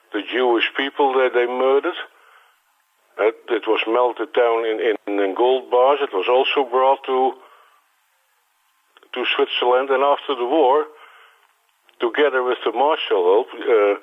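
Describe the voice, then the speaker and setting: A man in his sixties from the Netherlands with a Dutch accent, a native Dutch speaker, answering interview questions in a halting way, repeating words and restarting often. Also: mid-range voice. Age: 60s. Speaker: interview guest. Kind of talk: phone interview. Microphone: telephone. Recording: tinny phone line.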